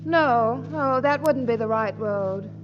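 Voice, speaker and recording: A ditzy, loving young bride in old radio comedy. Her voice is high and lilts widely. The recording is very noisy, with long reverb. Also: slow, lo-fi sound. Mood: ditzy, playful. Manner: ditzy, playful. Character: scatterbrained, affectionate young newlywed wife. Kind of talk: 1940s radio comedy acting.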